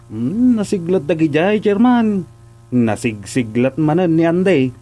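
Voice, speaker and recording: A man playing an excitable, fretful village sidekick in a comedic radio drama. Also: a little high, unhurried. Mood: deferential. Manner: exclaiming, fretful.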